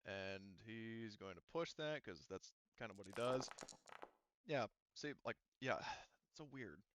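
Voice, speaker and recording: A man narrating a tabletop roleplaying game as game master in a casual, halting way, thinking aloud as he goes. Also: fairly low pitch, lively intonation, background noise.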